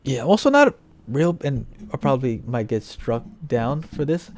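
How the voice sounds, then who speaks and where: A man's voice: medium pitch, sing-song, moderate pace, a little hiss or hum. A man co-hosting a casual film review chat, blunt and joking, with a lot of swearing. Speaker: podcast co-host reviewing films.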